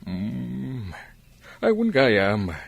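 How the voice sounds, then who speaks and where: A man's voice: mid-range voice, wildly swooping pitch, extremely slow, gravelly, husky, no room sound, exaggerated voice. A man with an Ilocano accent playing a polite, apologetic and flirtatious businessman in a radio drama. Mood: curious, courteous.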